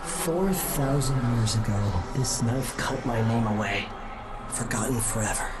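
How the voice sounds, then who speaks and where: An actor playing a cryptic, ageless supernatural being in a video game, measured, solemn and knowing. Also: male voice, mid-range voice, heavy background noise, close to an everyday voice. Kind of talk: dramatic video game monologue. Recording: loud music behind the voice. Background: loud game music.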